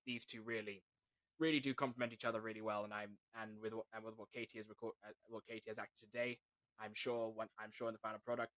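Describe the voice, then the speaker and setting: A man's voice: lowish voice, fairly bright tone, dry recording, rough recording. A man who writes and acts, chatting casually and earnestly, repeating phrases as he explains. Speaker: writer and actor. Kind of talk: casual cast chat.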